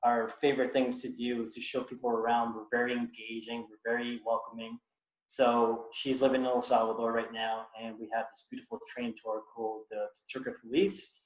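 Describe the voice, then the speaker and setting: A man's voice: medium pitch, flat delivery, reverberant, low-quality recording. A young American man with an American accent, speaking earnestly about his own life, often restarting his sentences. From United States. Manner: earnest, halting, many restarts and fillers. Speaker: guest speaker. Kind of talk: reflective personal talk.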